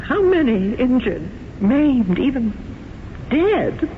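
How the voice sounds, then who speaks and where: Lead: a guilt-torn stage star, lively voice, tinny radio hiss. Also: female voice, high voice, slow, full character voice. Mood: anguished. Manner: emotional, breathless, pleading. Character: celebrated stage actress, anguished and guilt-torn. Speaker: lead character and narrator. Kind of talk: 1970s radio drama acting.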